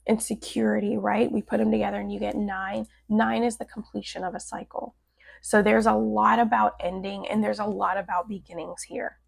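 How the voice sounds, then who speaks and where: A woman with a raspy, hoarse voice giving a casual tarot forecast, often adding "like" and "right?". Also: medium pitch, a little mellow. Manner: chatty, often adds "like" and "right?". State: hoarse from a cold. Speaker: tarot reader.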